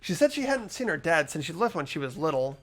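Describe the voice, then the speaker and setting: Earnest, worried teenage investigator for a game, played by a man: highish pitch, lively intonation. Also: fast. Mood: troubled, questioning. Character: earnest, anxious teenage boy narrating his investigation. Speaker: host of playthrough. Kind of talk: amateur game voice acting.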